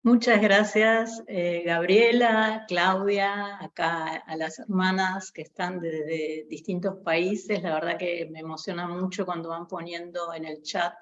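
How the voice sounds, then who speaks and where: Slow, halting Argentine woman lecturing. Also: on the low side, steady tone, dull audio. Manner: hesitant, many fillers. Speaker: guest lecturer. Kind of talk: online theology class.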